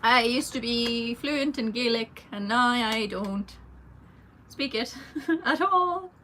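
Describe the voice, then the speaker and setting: A woman's voice: on the high side, slow pace, bright, forceful tone, a little hiss or hum. A young adult woman, a singer, chatting casually through a livestream Q&A with lots of fillers and a rambling manner. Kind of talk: casual livestream Q&A, reading viewer comments.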